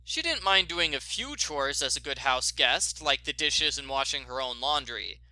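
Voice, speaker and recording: A man reads a story aloud in a very bright, forceful voice. His narration is lively in pitch and recorded dry and close. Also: normal speed, no echo. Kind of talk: scripted fan fiction narration.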